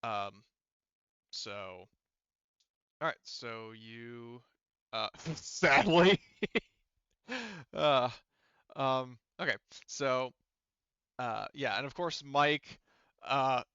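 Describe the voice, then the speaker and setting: A man's voice: mid-range voice, expressive, extremely slow, pauses often, pushed and bright, clean tone, dry recording, highs cut off. A man narrating a tabletop game as game master, explaining things in a casual, rambling, conversational way.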